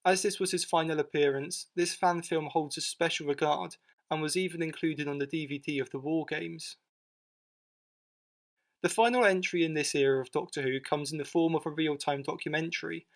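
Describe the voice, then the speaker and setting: A man's voice: a little high, steady tone, normal speed. A man narrating a scripted documentary video in an informal, matter-of-fact way. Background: music bed.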